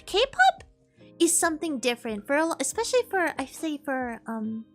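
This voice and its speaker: Upbeat young woman chatting w her stream, pretty high voice, faint music in the back. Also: big pitch swings, moderate pace, fairly bright tone. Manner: bubbly, upbeat, with playful bits of singing. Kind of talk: casual chat with stream viewers.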